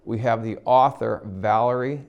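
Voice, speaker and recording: A man's voice: medium pitch, fairly even intonation, moderate pace, creaky, lo-fi sound. A man hosting a local TV interview, asking friendly conversational questions. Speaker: TV interview host. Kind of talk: casual interview.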